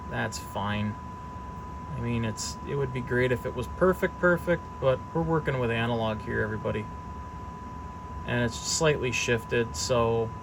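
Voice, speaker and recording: A man's voice: mid-range voice, vocal fry, background noise. A man giving a casual, unscripted equipment demonstration as a hobbyist technician, thinking aloud hesitantly as he goes. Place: service workshop, indoors.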